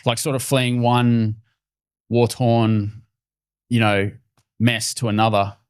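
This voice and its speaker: A man's voice: mid-range voice, level tone, takes it slowly, clean tone, no echo, studio quality. A man hosting a podcast interview, attentive and encouraging, prompting his guest with short acknowledgements. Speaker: podcast host.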